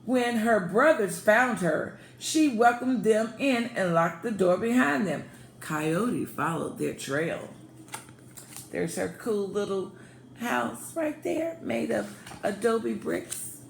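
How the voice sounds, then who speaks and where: Slow-paced woman reading a children's story aloud. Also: mid-range voice, vocal fry. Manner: expressive, pausing to prompt young listeners. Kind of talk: children's picture book read-aloud. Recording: echoey room with faint background noise.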